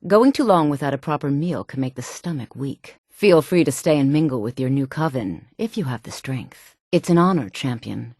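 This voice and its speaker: Low, sultry, welcoming cult seductress. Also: female voice, lively intonation, moderate pace, few pauses, a little mellow, breathy edge, no echo, studio quality, full character voice. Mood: gracious, welcoming. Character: sultry, seductive cannibal cultist woman, hushed and welcoming. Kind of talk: fantasy video game voice acting.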